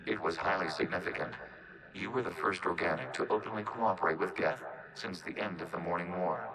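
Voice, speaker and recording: An actor playing a calm, logical robot with a collective mind, speaking formally through synthetic processing in a video game cutscene. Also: male voice, low voice, faint music behind, low-quality recording. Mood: calm, reflective. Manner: formal, measured, detached, speaks of itself as "we".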